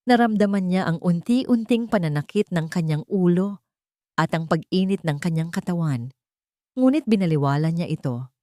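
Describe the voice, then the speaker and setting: A woman's voice: on the low side, expressive, moderate pace, dark tone, no room sound, clean studio sound. A woman narrating a scripted romance story in a dramatic storytelling style.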